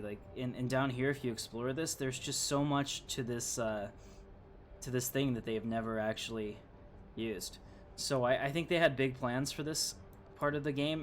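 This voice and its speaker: Chatty male game streamer, mid-pitched voice. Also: a little hiss or hum. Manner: chatty, rambling. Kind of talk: casual live gameplay commentary.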